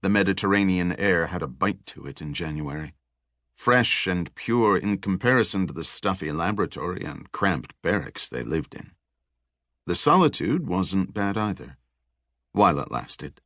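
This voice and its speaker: A man reading scripted thriller narration in a measured, deliberate storytelling manner. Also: deep voice, animated, a bit bright, dry recording, studio quality. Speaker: audiobook narrator.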